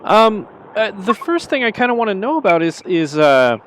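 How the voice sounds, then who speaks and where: A man interviewing a guest, asking his questions in a hesitant, halting way. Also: high-pitched, big pitch swings, fairly bright tone, slight background noise, poor audio.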